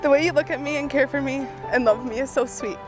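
A woman's voice: high voice, quick pace. A young woman, a bride, tenderly giving heartfelt wedding vows at an outdoor ceremony. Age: young adult. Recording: music under the voice. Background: music.

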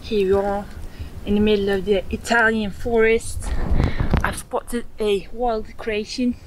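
A woman's voice: mid-range voice, flat delivery, measured pace, background noise. A young woman from Norway with a Norwegian accent, chatting playfully while hiking outdoors. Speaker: girlfriend on hike. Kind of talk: casual chat. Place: outdoors in forest and grassland.

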